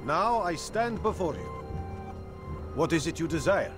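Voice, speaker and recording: An actor playing a self-righteous slaver villain in a video game, grandiose, taunting and condescending. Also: male voice, on the high side, sing-song, measured pace, over music. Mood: taunting, grand. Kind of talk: video game cutscene acting.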